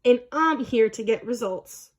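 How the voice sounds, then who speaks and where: A woman's voice: on the high side, lively intonation, a little slow. A woman voicing a stern, menacing female football coach who gives terse, commanding orders in a storybook.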